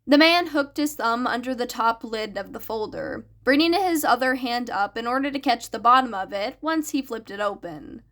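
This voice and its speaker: A woman's voice: a little high, expressive, moderate pace, few pauses, a bit bright. A woman reading a story aloud as a scripted audiobook narration. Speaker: fan fiction narrator.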